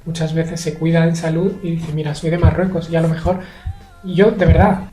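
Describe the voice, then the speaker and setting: A young man from Spain answers interview questions in a measured, hesitant way, pausing often and using fillers. His voice has a mellow, dark tone. The sound bounces around an echoey room. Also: on the high side, little pitch movement. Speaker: interview guest. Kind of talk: thoughtful conversational interview.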